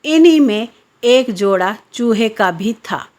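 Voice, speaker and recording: A woman narrating a scripted children's story in a measured, expository way. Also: fairly high pitch, animated, darkish tone. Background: background music.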